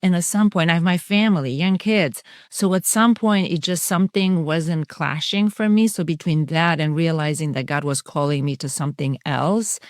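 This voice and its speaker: A middle-aged woman speaks in a low register, explaining ideas earnestly in long, flowing runs. She is a guest on a podcast interview. The sound is dry and close, with no room echo. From Romania. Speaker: guest psychiatrist and coach.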